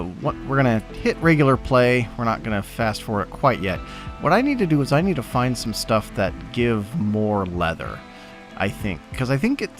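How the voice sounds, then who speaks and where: A young man, stuffy from allergies, talks through his game in a low voice whose pitch swings up and down a lot. He thinks aloud and rambles with many fillers, and faint music runs underneath. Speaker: host. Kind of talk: casual gameplay commentary.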